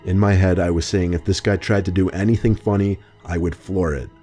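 Deep, dark-voiced man narrating horror stories. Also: steady tone, a little fast. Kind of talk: scripted horror story narration. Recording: faint music under the voice.

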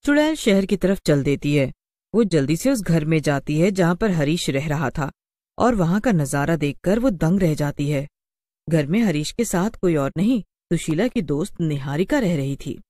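A woman's voice: low-pitched, animated, normal speed, warm tone, dry recording. Woman narrator, dry studio sound. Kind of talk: scripted story narration.

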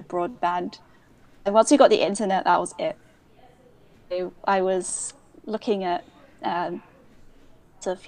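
A young woman with a London accent talks casually as a podcast guest. Her voice sits at a middle pitch, and her telling wanders with ums and quick restarts. She is heard through a remote call mic. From England. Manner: chatty, frequent ums and self-corrections. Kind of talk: casual conversational storytelling.

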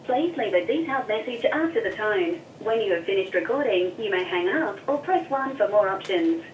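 A woman of average pitch delivers a scripted voicemail announcement through a telephone speaker. It sounds far off and echoey, with noticeable noise. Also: expressive, normal speed, lo-fi sound. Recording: noisy distant speakerphone in echoey office.

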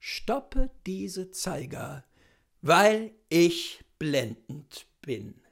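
A woman's voice: fairly low pitch, very animated, extremely slow, creaky. A woman gives a mock-serious, declamatory dramatic recitation of lyrics as poetry, drawing out her words. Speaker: reader of literary texts. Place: indoors, room with bookshelves.

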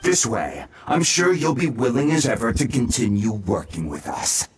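An actor playing a menacing, gloating samurai bandit boss in a video game, taunting and blustering. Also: male voice, mid-range voice, sing-song, moderate pace, a little hiss or hum, lightly acted. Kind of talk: video game character acting.

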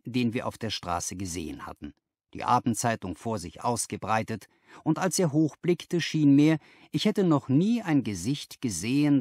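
Expressive male audiobook narrator, animated pitch. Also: dry recording, studio quality. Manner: expressive storytelling, careful phrasing. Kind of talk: scripted dramatic literary narration.

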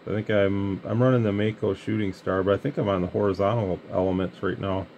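A man's voice: sits low, fairly quick. A man with an American Midwestern accent chatting casually as a CB radio hobbyist. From Michigan, United States. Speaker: radio hobbyist, channel host. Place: indoor radio bench. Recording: steady background hiss and radio noise.

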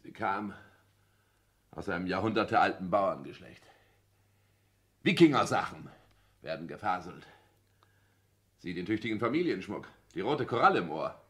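A pompous, boastful social climber. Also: male voice, low-pitched, sing-song, moderate pace, pauses often, fairly bright tone. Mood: boastful, animated. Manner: pompous, declamatory, theatrical. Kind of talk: 1960s German radio play acting.

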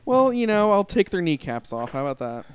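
A man's voice: high-pitched, very animated, fairly quick, very noisy. A man playing a horror game on stream, joking around and swearing with his co-host. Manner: jokey, goofy, swears and laughs. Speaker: let's play player. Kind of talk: casual gameplay chat.

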